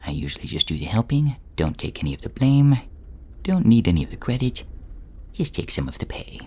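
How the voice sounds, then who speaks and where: A man voicing a meek, chatty dungeon servant with a hushed, throat-burnt rasp and a hesitant, self-effacing manner in an audio role-play. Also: lowish voice, sing-song, a little fast, exaggerated voice. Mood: gossipy, hesitant.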